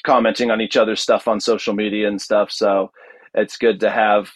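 Halting, enthusiastic man with a lowish voice. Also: little pitch movement, no echo. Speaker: podcast guest, audio drama producer. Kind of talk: casual interview talk.

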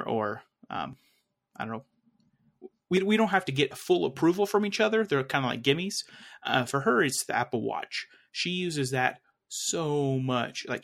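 A man's voice: mid-range voice, fairly bright tone. A man chatting casually as a tech podcast co-host, rambling a little and often correcting himself as he searches for words. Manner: rambling, hesitant, self-correcting. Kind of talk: casual chat.

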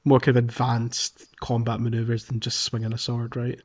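A man's voice: medium pitch, completely flat delivery, moderate pace. A man hosting a podcast, talking casually through a video game playthrough. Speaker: podcast host. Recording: dull, slightly lo-fi audio.

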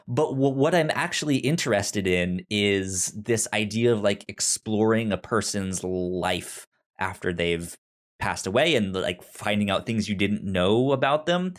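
A man co-hosting a podcast in casual chat, haltingly, often repeating and restarting his words. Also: on the low side, expressive. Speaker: podcast co-host.

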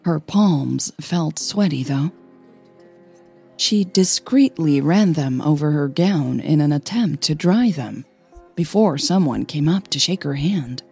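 A woman narrating scripted audio fiction in a measured storytelling manner, phrase by phrase. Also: deep voice, expressive, creaky, dark tone, slightly rough, faint music behind. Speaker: podcast host and narrator.

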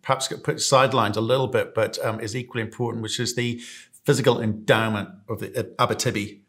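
A man's voice: medium pitch, steady tone, fast talker, a bit bright. Male moderator, conversational and probing. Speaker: panel moderator. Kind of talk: panel discussion.

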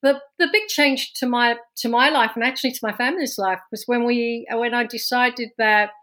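A woman's voice: a little high, moderate pace, bright, forceful tone. A woman from London with a London accent, a guest on a podcast, telling her own story in casual talk with many restarts. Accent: London English. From London, England. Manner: storytelling, with frequent restarts and laughs.

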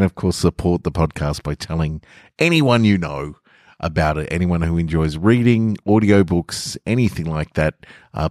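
A man's voice: low voice, a little mellow, dry recording. A man who hosts a podcast and reads short stories aloud, speaking into a close mic. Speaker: podcast host and story reader. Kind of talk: narrated short fiction and casual host outro.

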